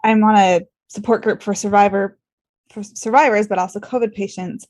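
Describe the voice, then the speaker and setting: A woman's voice: medium pitch, steady tone, normal speed, a little mellow. An American woman hosting a conversational medical interview, speaking in an informal and earnest way. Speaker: podcast host and ICU clinician.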